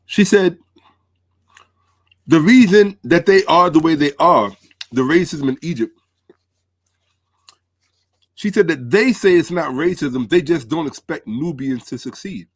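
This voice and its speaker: A man's voice: fairly high pitch, lively intonation, measured pace, pauses often, no room sound. Man hosting a live commentary, dry close sound. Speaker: host of a commentary livestream. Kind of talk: live commentary, talking to viewers.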